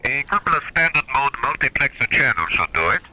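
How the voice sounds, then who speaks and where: Male moon-base scientist: serious, crisp, uneasy, medium pitch, piercingly bright radio-drama delivery. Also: big pitch swings, fairly quick, full character voice, poor audio.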